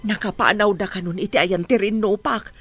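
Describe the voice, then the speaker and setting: A woman's voice: medium pitch, fairly even intonation, a little fast, soft background music, natural voice. A woman acting a worried, tender mother of grown children in an Ilocano-accented radio drama, speaking in a plaintive, caring way. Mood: concerned.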